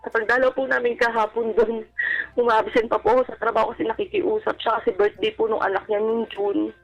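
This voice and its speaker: Dull, band-limited radio audio with faint music carries a Filipino-accented woman's creaky voice. She tells her personal story casually and deferentially, often halting as she goes. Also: fairly high pitch, level tone. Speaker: advice-seeker on a radio show. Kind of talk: personal account in casual, polite talk. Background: background music.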